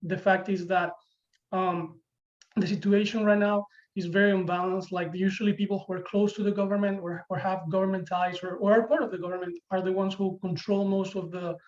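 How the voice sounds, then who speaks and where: Casting: male student, early 20s, Venezuelan accent, native Spanish speaker, high voice, halting interview delivery, dull lo-fi audio. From Venezuela, Caracas. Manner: hesitant, halting with repeated words. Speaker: university student, interview guest. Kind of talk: conversational interview answers.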